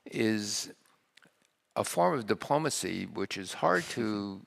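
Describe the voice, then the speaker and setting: A man's voice: on the low side, expressive, takes it slowly, creaky, a bit bright. An older man from the United States speaking earnestly on a panel, often restarting his sentences. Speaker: panel guest, scientist. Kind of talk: panel discussion. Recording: reverberant hall.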